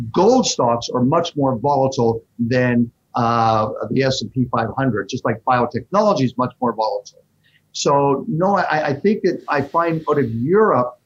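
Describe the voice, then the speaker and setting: A man answers interview questions about investing, speaking in fast, animated bursts. His voice is husky. The audio sounds dull and lo-fi, like a remote connection. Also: mid-range voice. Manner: fast, animated explaining with fillers. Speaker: fund manager guest.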